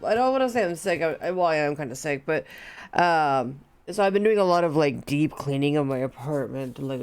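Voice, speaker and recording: This is a woman with a low voice who is hosting a live game stream. Her nose is blocked up, so she sounds congested and nasal. She chats along in a loose, rambling way and fills the gaps with small hesitations. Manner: chatty and rambling, with many fillers. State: stuffed up, nasal from allergies. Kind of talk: casual live stream chat.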